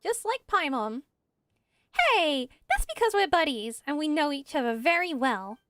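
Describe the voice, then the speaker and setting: A woman voicing a small, excitable, childlike girl fairy companion from a fantasy game, with a chirpy and easily indignant manner. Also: high voice, unhurried, no room sound, studio quality, full character voice. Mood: chirpy, curious.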